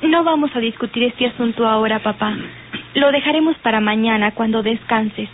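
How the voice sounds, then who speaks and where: An actress playing a composed, determined young daughter in an old radio soap. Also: female voice, a little high, moderate pace, natural voice. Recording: tinny old radio recording, faint noise.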